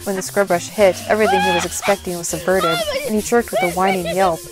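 A woman's voice: medium pitch, steady tone, fast talker, over loud music, poor audio. A woman reading scripted story narration as the narrator of an audio drama.

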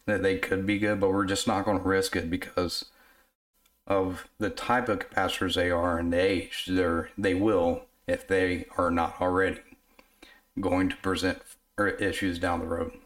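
Hoarse man, very low and monotone, easygoing workshop talk with plenty of "uh"s. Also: a little slow. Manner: relaxed, filler-heavy explaining while working. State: hoarse from illness. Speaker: radio repair technician. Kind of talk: casual workshop narration. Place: workbench in a home repair shop.

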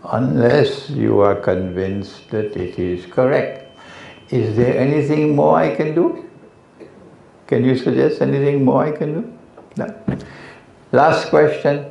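Gravelly Trinidadian man, firm and slow. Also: mid-range voice, dark tone, slight background noise. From Trinidad and Tobago. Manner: firm, corrective, interrupts questioners. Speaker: lecturer answering audience questions. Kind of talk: Q&A after a lecture. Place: lecture hall. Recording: echoey hall, slightly dull.

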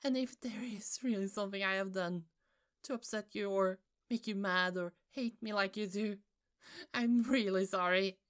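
A woman playing a hurt, fed-up office coworker in an ASMR roleplay, halting and pleading, with pauses and sighs. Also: mid-range voice, fairly even intonation, smooth, lightly acted. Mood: upset, pleading. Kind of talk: ASMR roleplay, slow intimate monologue.

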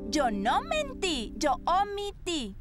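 A woman's voice: very high voice, wildly swooping pitch, slow pace, heavily acted. A woman voicing a vain, bossy teenage girl model in a cartoon, whiny and indignant. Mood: defensive whining. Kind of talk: animated cartoon dub. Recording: music behind the voice.

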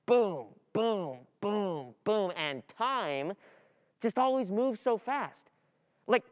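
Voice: mid-range voice, clean tone. A performer playing an overwhelmed, distracted student in a dramatic monologue, anxious and frustrated, agitated and repetitive, with thoughts spilling out. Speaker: performer in a radio play monologue. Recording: reverberant hall.

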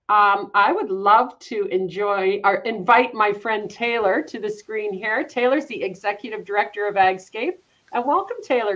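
A woman's voice: mid-range voice, a bit bright, muffled recording. A woman in her fifties, upbeat and welcoming, hosting a live online class event from her living room over a webcam. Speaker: host. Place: home living room with large windows. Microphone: video call webcam.

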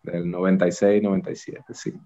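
A Dominican man in his forties speaks with a fairly low voice and an easy, joking warmth as an interview guest. His audio comes through a video call and sounds muffled and dull. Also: level tone, fairly quick. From Puerto Plata, Dominican Republic. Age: 40s. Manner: jovial, playful self-praise. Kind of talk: casual interview chat. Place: indoors, bright room.

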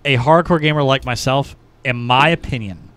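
A man with a bright, forceful voice and a medium pitch talks casually on a podcast. He states his opinions emphatically, close to a studio microphone. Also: moderate pace, dry recording. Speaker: podcast co-host. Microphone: close podcast microphone, headset.

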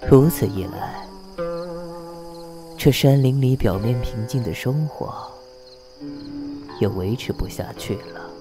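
An actor as a slow, coldly composed prince. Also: male voice, medium pitch, music in the background, natural voice. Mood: solemn, disdainful. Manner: measured, deliberate, pausing between phrases. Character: composed, shrewd, coldly disdainful adult prince. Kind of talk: costume audio drama acting.

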